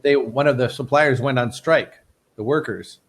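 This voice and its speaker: An American man tutoring English over a video call, with a relaxed, friendly manner. His voice sits at an ordinary middle pitch, and he rephrases his points in simpler words. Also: moderate pace. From United States. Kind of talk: casual online tutoring chat.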